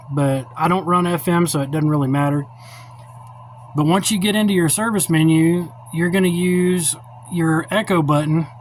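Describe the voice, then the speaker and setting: Man, middle-aged, somewhat high voice, blunt, informal tutorial delivery, unscripted, light background noise, cell phone audio. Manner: blunt, opinionated, plainspoken. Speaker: radio hobbyist giving a tutorial. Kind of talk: informal unscripted how-to demonstration. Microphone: cell phone held or set up while filming. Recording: noisy cell phone recording.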